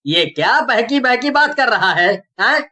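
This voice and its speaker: A woman's voice: fairly high pitch, lively intonation, a little slow, pushed and bright, pitched above the performer's own voice. A woman voicing a brusque, suspicious policeman who scolds as he talks. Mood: scolding.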